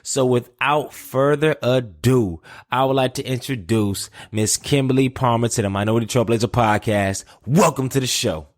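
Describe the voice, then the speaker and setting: A man's voice: medium pitch, normal speed, hardly any pauses. A man hosting a podcast interview, playful and rambling, laughing often as he talks. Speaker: podcast host.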